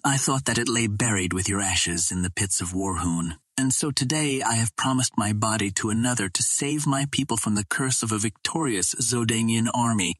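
A man plays a young alien princess of an audiobook romance. She is proud and resigned, speaking in sorrowful, formal tones. The voice is husky and close in a dry recording. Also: fairly low pitch, normal speed, hardly any pauses, fairly bright tone, no echo. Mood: grieving, resigned. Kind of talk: dramatic audiobook dialogue.

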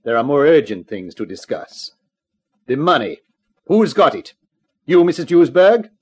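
A silky polite gangster villain, old 50s radio crime show, voice swings up and down a lot. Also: male voice, a little high, big pitch swings, unhurried, breathy edge, exaggerated voice. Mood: cool, threatening. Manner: formal, courteous, precise phrasing with veiled threats. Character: smooth, menacingly polite male gangster villain. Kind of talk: 1950s radio crime drama acting.

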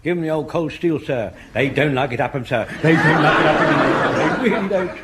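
An actor playing an eager, doddery elderly English veteran corporal in a British sitcom, earnest and excitable. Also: male voice, a little high, fairly quick, lightly acted. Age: older. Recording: faint noise, old comedy recording.

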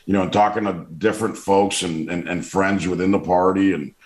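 Deep-voiced loud man with roomy echo. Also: steady tone, fairly quick. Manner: loud, forceful, with many restarts and fillers. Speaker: political commentator. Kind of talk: casual interview.

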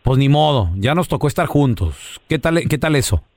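A man's voice: medium pitch, lively intonation, normal speed, a little mellow, no room sound, studio quality. Casual radio host, man. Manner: casual, slangy, with restarts.

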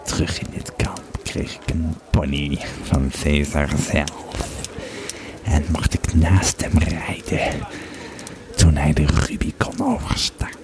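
Slow, gravelly Roman legionary played by a man. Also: rumbling low, steady tone, heavily acted. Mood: weary, matter-of-fact. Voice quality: gravelly, creaky. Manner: slow, halting, dramatic delivery. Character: Roman legionary recounting his harrowing escape and mission. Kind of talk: dramatised first-person storytelling in an audio play. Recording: busy crowd chatter behind the voice. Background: crowd noise.

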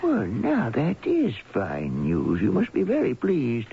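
An actor playing a kindly, fatherly middle-aged man in a 1970s radio drama, speaking deliberately and sympathetically. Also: medium pitch, huge pitch swings, gravelly, slightly rough, full character voice. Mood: concerned. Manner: deliberate, sympathetic, hesitant fillers. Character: kindly, fatherly older employer offering gentle counsel. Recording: muffled, hissy 1970s radio broadcast.

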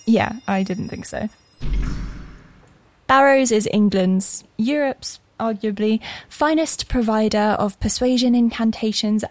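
An actress playing a wry, chatty shop worker who confides in the listener in an audio fantasy drama. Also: female voice, mid-range voice, a little mellow, close to an everyday voice. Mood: playful, enthusiastic. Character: wry, chatty young woman working in a magic shop. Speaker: narrating lead character of an audio fiction series. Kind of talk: intimate conversational first-person dramatic narration.

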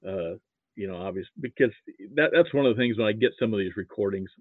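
An American man, middle-aged or older, reminiscing casually as an interview guest in a halting way, often repeating words. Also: lowish voice, no echo. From Nebraska, United States. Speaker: interview guest, football archivist. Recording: thin phone-like line.

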